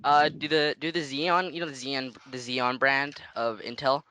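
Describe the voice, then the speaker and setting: A man's voice: medium pitch, flat delivery, moderate pace, pushed and bright, clear, resonant tone. A man making a casual prank phone call, rambling in slang and persistently asking naive questions. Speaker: prank caller.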